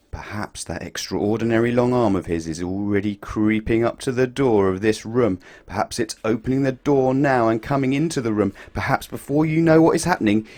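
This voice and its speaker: A man reading a picture book aloud to children, playful and expressive, swinging his voice up and down for effect. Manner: expressive, playful storytelling with big swings in pitch and loudness.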